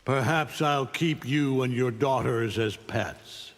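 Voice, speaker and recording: An actor playing an arrogant middle-aged alien superhuman conqueror in a video game, imperious, threatening and condescending. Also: male voice, mid-range voice, slow, natural voice. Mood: cold, domineering. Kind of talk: video game fight-intro dialogue. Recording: long hall-like reverb.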